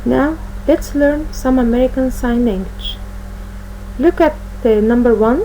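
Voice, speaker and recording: A woman teaching an English lesson to young children, speaking slowly and repeating each word for pupils to copy. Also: high voice, warm tone, slight background noise, low-quality recording. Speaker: primary school English teacher. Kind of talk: recorded classroom lesson for children.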